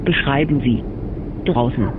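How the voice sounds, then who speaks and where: Actor as probing male interrogator, phone-like sound, clear background noise, clipped, impersonal, radio drama. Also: medium pitch, a little slow, natural voice. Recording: tinny phone-like band with noise.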